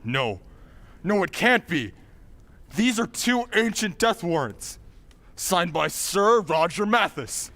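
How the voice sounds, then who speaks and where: Actor doing stubborn scolding guy in an old radio play, high voice, swings up and down a lot. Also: very animated, takes it slowly, pushed and bright, slight background noise, exaggerated voice. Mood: stern, uneasy. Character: stubborn, stern man dismissing fears. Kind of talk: old-time radio drama acting.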